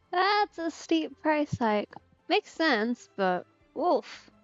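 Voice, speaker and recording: A woman's voice: sits high, sing-song, very slow, no echo. A woman streamer giving casual game commentary in an understated, wry way. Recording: slightly dull mic, music behind. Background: game music.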